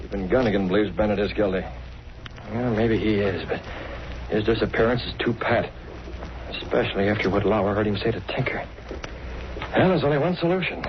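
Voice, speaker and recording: An actor playing a firm, commanding newspaper boss and secret vigilante in a vintage radio drama, with a decisive, authoritative manner. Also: male voice, on the low side, fairly quick, pauses often, lightly acted. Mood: grave, resolute. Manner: decisive, authoritative, clipped orders. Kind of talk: 1930s-40s radio drama acting. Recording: dull, noisy old radio recording.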